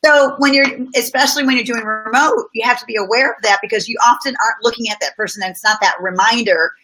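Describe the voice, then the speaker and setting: A woman's voice: fairly high pitch, fast talker, pushed and bright, low-quality recording. A middle-aged woman talking through workplace experiences as a guest, thoughtful and full of personal examples. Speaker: guest speaker on business. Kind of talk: casual conversational interview.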